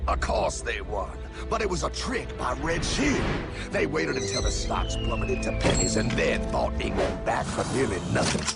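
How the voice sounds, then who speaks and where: An actor playing a fervent, theatrical patriot man in a cartoon, declaiming with urgency and drama in a gravelly voice. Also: medium pitch, huge pitch swings, normal speed. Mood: grim, explaining. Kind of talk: animated cartoon acting. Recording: loud music behind the voice. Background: loud music.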